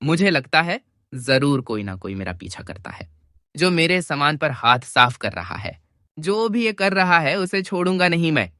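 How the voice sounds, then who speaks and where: A man's voice: fairly high pitch, big pitch swings, moderate pace, sharp tone, dry recording, clean studio sound, exaggerated voice. A man voicing a frustrated, sly village thief in a cartoon story, with a whiny, exclaiming manner. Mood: suspicious, upset, then angry. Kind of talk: Hindi cartoon moral story dialogue.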